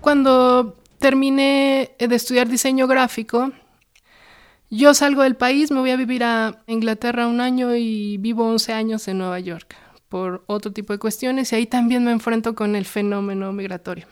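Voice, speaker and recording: A woman's voice: fairly high pitch, fairly even intonation, moderate pace, clean tone. A middle-aged woman with a Mexican accent talks reflectively and conversationally about herself, as in an interview. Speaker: independent publisher and illustrator. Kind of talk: personal interview narration.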